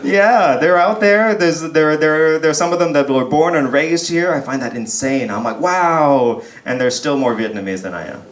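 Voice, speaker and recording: A man's voice: a little high, normal speed, hardly any pauses. A young man from Texas performing a chatty, self-deprecating stand-up set in a pub, often laughing as he talks. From United States (Texas). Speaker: stand-up comedian. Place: pub comedy stage. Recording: roomy pub sound with faint crowd murmur.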